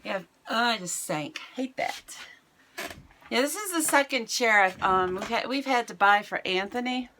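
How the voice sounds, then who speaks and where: A middle-aged American woman with a raspy voice, chatting casually to camera in a home vlog. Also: fairly high pitch, expressive, normal speed, sharp tone, a little hiss or hum. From United States. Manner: chatty, rambling, self-mocking. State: raspy voice today. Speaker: vlogger. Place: home, indoors.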